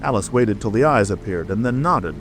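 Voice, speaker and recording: A man's voice: on the low side, expressive, a little fast. A man narrating a storybook as a dramatic, scripted audiobook reading. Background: music.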